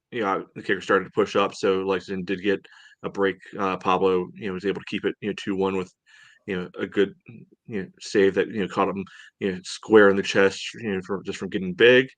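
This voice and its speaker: Halting man on a soccer podcast, close dry sound. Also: deep voice, steady tone, dry recording. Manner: halting, peppered with "you know". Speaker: podcast co-host. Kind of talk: casual soccer match analysis.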